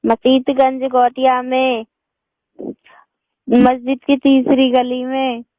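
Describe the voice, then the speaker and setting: A woman's voice: high voice, level tone, slow, no echo. A woman in an emotional phone conversation, insistent, defiant and pleading. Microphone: telephone. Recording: muffled lo-fi phone call recording.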